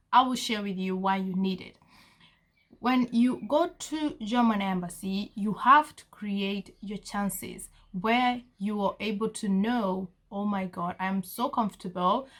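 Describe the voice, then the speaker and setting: A young woman from Kenya talks straight to her viewers in a casual vlog, earnest and a little repetitive. Her voice sits at an ordinary middle pitch for a woman. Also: a bit bright. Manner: earnest, repetitive, addresses viewers as guys, frequent okay. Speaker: vlogger giving visa advice.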